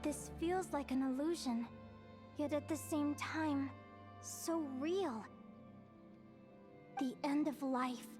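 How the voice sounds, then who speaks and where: A woman playing a young fae girl in an English anime-style game, earnest and vulnerable yet brave, with emotional, halting sincerity. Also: sits high, over music. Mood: faint, haunted.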